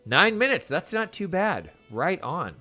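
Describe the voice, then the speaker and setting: A man's voice: high-pitched, big pitch swings, a little slow, bright, forceful tone, soft background music. Informal enthusiastic man streaming a game. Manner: enthusiastic, informal slang, addresses viewers. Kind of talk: casual game stream chat.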